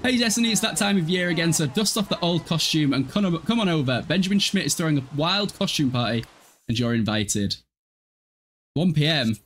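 Young man with lively, swinging pitch. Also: a little fast, a little hiss or hum. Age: young adult. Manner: irreverent, sweary, jokey. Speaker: livestreamer playing a life-simulation game. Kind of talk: casual game livestream commentary and chat. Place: small room at home, indoors.

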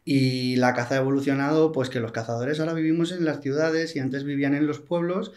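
A man in his thirties from Toledo chats as a podcast guest. His voice sits at an ordinary middle pitch, relaxed and unhurried, with lots of repeated words. He speaks into a boom-mounted studio mic in a small room. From Toledo, Spain. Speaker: podcast guest, hunting platform founder. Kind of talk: casual interview talk. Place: small studio with wood panels and a plant. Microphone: large studio mic on a boom arm, headphones.

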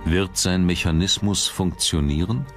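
Man, very low voice, documentary narration, scripted delivery, music behind. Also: fairly even intonation, unhurried. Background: background music.

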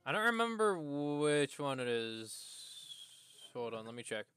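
A man livestreaming a video game, casually thinking aloud in a halting way as he plays. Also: on the high side, very animated, a bit bright, a little hiss or hum. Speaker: game streamer.

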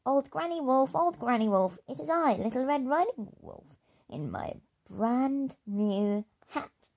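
A high, gentle little wolf cub, earnest and painfully polite, with a lilting voice that rises and falls eagerly, as a woman reading aloud puts him on. He speaks sweetly and primly, a storybook innocent. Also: big pitch swings, a little slow, full character voice. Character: polite, timid young male wolf cub.

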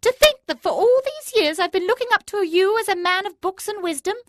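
A woman voicing a shrill, screeching old crone who scolds with sharp scorn. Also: expressive, fairly quick, no echo, studio quality. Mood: scornful.

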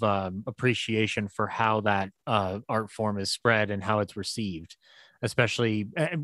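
A man hosting an interview, reading out questions in a measured, somewhat formal way. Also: on the low side, a bit bright, dry recording, clean studio sound. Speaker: interview host.

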